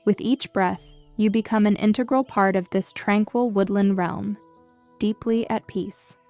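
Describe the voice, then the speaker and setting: A woman narrating a scripted guided meditation in a soothing, measured and gentle manner. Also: medium pitch, fairly even intonation. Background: background music.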